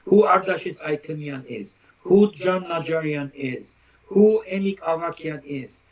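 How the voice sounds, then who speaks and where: A man with a fairly high voice, heard through muffled lo-fi live stream audio. He talks as an opinionated interview guest, stating things emphatically and stacking his points in repeated lists. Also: measured pace. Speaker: interview guest, social critic.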